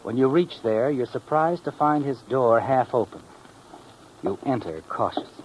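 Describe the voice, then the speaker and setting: A man playing the knowing, taunting narrator of a 1950s radio mystery, addressing the schemer with dramatic pauses. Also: medium pitch, expressive, slight background noise, lightly acted, low-quality recording. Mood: ominous, knowing. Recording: old radio recording with music behind.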